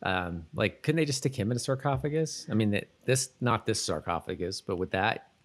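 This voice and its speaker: A middle-aged man with a fairly low voice talks casually as a podcast co-host. He feels his way through his thoughts, restarting and wandering as he recaps a show. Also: animated, brisk. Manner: hesitant, rambling, thinking aloud. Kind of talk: casual TV episode recap chat.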